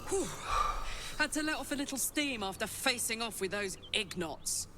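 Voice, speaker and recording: A woman's voice: on the high side, expressive, normal speed, noisy background, close to an everyday voice. An actress playing a brash, swaggering, defiant demon warrior woman in a video game. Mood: cocky, playful.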